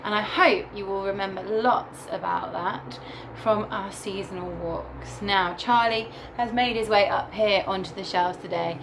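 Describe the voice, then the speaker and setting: A woman teacher reading a picture book aloud to young children in a deliberate, animated storytelling way. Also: medium pitch, background noise. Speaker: primary school teacher reading to her class.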